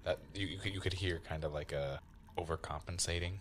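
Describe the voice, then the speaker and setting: A man chatting as a player in a tabletop role-playing game, halting and understated, over background noise. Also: low voice, fairly even intonation, a bit bright. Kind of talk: casual in-game chat. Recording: constant clear background noise.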